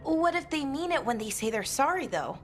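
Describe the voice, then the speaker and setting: An actress voicing a curious, questioning companion in a fantasy video game. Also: female voice, high voice, sing-song, brisk. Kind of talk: video game cutscene dialogue. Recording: game music behind the voice.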